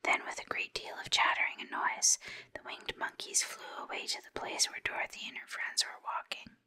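A woman softly whispering a storybook aloud in a steady, unhurried narration for ASMR.

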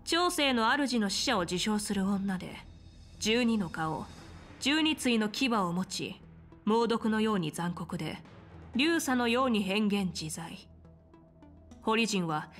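Game general: woman, composed, authoritative, frank, measured delivery over background music. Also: a little high, expressive, fairly bright tone. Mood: grave. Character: confident, composed female military general in fantasy game. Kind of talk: dramatic Japanese game voice acting.

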